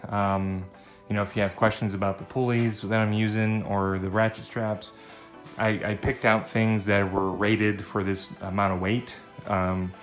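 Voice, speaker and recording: A man in middle age talks through a task in an offhand, halting way, as if thinking aloud. His voice sits on the low side. It rings with the echo of a garage, with some music in the recording. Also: level tone. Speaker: vlogger demonstrating a DIY setup. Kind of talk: casual how-to narration. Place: indoors in a cluttered home garage. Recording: echoey garage, faint music.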